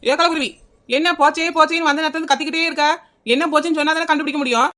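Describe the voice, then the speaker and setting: A woman's voice: high voice, steady tone, racing, pushed and bright. A woman playing a blunt, impatient village neighbour in a comic cartoon, with a southern Tamil Nadu accent. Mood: exasperated. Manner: blunt, scolding.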